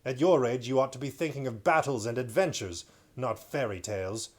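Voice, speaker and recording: A man reading aloud and voicing a stern, menacing king who speaks loudly and threateningly. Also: brisk, close to an everyday voice. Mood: scornful. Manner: loud, booming, threatening. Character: stern, menacing middle-aged male king.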